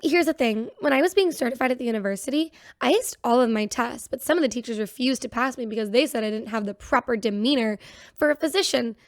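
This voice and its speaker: An actress playing a self-doubting ship's doctor in an audio drama, confiding in a conversational, flustered way. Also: female voice, on the high side, fast talker, dry recording, studio quality, natural voice. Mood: troubled, self-doubting. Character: self-doubting, rattled young-sounding female ship's doctor. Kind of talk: audio drama acting as an interviewee.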